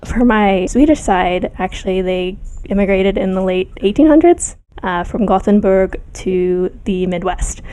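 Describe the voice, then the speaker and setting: A young woman from Los Angeles, an audio editor guest-hosting a podcast, talking casually and softly with small laughs. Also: mid-range voice, a little slow. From Los Angeles, United States.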